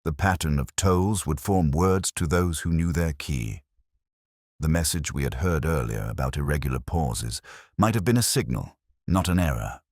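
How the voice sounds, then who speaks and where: A man reading a scripted first-person mystery story as an audiobook narrator, in a measured, solemn, literary manner. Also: a bass voice, no echo, clean studio sound.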